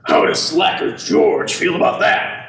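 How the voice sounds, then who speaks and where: A man playing a sneering, mean old rich man with a creaky voice in an amateur radio play. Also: a little slow, fairly bright tone, lightly acted. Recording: echoey room, distant mic.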